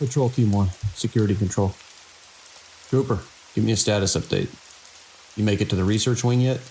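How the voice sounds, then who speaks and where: A man playing a seasoned, wry security chief in an audio drama, with a measured, world-weary, procedural delivery and a gravelly voice. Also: lowish voice, darkish tone, close to an everyday voice, poor audio. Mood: businesslike. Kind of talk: audio drama dialogue and log monologue. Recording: faint hiss and rain-like noise.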